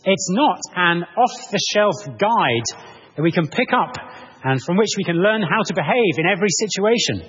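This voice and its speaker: A man preaching an expository sermon in a measured, explanatory manner, posing rhetorical questions to his listeners. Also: high-pitched, lively intonation, a bit bright, husky. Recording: muffled, reverberant large hall.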